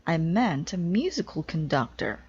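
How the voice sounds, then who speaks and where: Woman acting flustered, bossy storybook lady, husky voice. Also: sits low, big pitch swings, darkish tone, lo-fi sound, full character voice. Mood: dismayed, scolding. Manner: brisk, dismayed, scolding. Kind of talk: children's storybook read-aloud.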